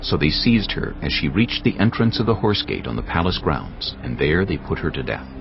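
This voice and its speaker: A man narrating scripture in a measured, solemn reading. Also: low voice, very animated, gravelly, highs cut off, a little hiss or hum.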